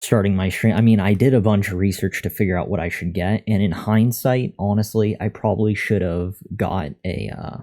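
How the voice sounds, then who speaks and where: A man chatting casually on a live coding stream, thinking aloud in a monotone voice into a USB condenser microphone. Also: on the low side, normal speed, few pauses. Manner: thinking aloud, reading out and answering chat. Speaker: live streamer learning to code. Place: untreated room at a computer. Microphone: USB condenser microphone at a desk.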